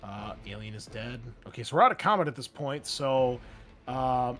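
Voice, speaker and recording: Male game master, medium pitch, casual and thinking out loud, music underneath. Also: animated, moderate pace. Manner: casual, thinking aloud through rules. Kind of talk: running a tabletop roleplaying game. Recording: music behind.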